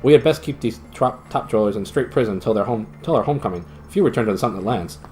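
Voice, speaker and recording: A man's voice: fairly low pitch, expressive, fast, a little mellow, slight background noise, close to an everyday voice. A man reading a storybook, voicing a gloomy male gnome servant in a solemn, formal way. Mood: grave.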